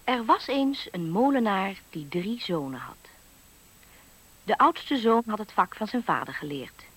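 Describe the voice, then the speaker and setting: A woman narrating a fairy tale for a 1970s radio play in a composed, measured storytelling manner. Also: medium pitch, expressive, fairly bright tone, slightly rough.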